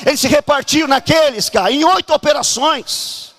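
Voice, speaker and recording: A man from Brazil preaching a fervent charismatic sermon at a church conference, often shouting and urging the congregation to act. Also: very high voice, normal speed.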